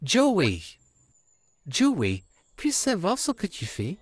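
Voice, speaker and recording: A man voicing a friendly, courteous male puppet in an amateur comic dub, calmly addressing his friends. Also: sits high, drawn-out words, dry recording, exaggerated voice. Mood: calm, gentle. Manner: calm, courteous, repeatedly addressing listeners as friends.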